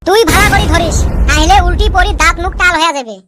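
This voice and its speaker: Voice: extremely high pitch, fast talker, heavy background noise. A performer voicing a mischievous, bickering village boy in a comedy cartoon, speaking in the Rajbongshi dialect of northern Bengal. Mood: bossy, scheming. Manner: colloquial, bantering, complaining.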